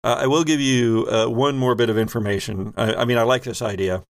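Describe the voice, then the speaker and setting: A man running a tabletop role-playing game as game master, improvising vivid descriptive narration with thoughtful pauses. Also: medium pitch, steady tone, no echo.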